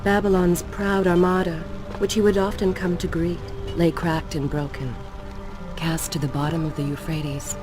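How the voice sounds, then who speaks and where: Woman doing a solemn wise lady narrator for a fantasy game, lowish voice, formal and wistful, music behind. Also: flat delivery, moderate pace, close to an everyday voice. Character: solemn, wise female storyteller, mystical and wistful. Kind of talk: scripted dramatic story narration. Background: game soundtrack music.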